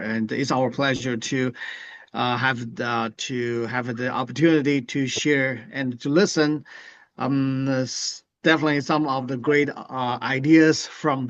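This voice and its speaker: Taiwanese accent guy on a video call, lots of uhs, sound kinda dull. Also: mid-range voice. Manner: halting, many uh fillers. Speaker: webinar attendee.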